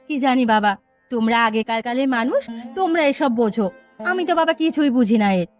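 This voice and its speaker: A woman's voice: a little high, animated, fast, faint music behind, natural voice. A woman voicing a caring, chatty village mother with a fussing, homely manner in a cartoon story. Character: caring village mother, young niece, mildly exasperated.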